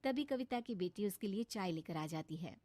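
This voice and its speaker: A woman's voice: mid-range voice, expressive, normal speed, darkish tone, no room sound. A woman reading scripted story narration as the narrator.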